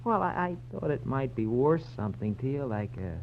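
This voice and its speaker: An actor playing a nervous, cadging barfly who stammers and wheedles in a 1940s radio drama. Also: male voice, mid-range voice, very animated, moderate pace. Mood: panicky, sly. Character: whiny, nervous male barfly, cadging and weaselly. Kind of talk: 1940s radio detective acting. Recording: dull, noisy 1940s radio recording.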